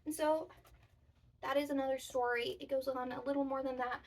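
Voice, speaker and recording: High-voiced woman presenting children's storytime. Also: fairly even intonation, fairly quick, gravelly, far from the mic. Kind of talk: demonstrating a children's storytime activity and reading picture books.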